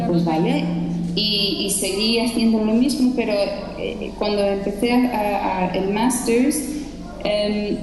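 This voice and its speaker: A woman in her thirties from Chicago with an American accent, telling her personal story at a gathering, hesitant, with many restarts and fillers. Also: mid-range voice. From Chicago, United States. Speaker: student speaker at a gathering. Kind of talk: personal testimony talk. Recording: echoey hall with long reverb and music.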